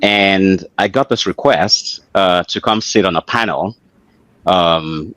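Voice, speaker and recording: Deep-voiced middle-aged Nigerian man. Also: takes it slowly, a bit bright, dry recording. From Lagos, Nigeria. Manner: hesitant, reflective, repeats words as he searches. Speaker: interview guest, author and engineer. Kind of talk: conversational interview storytelling.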